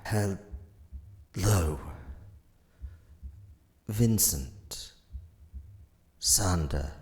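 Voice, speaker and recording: A man in an audio drama voicing an ancient, undying entity of the void, speaking in halting, solemn fragments. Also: low voice, darkish tone. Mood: solemn, eerie. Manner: halting, measured, solemn, breaking phrases apart.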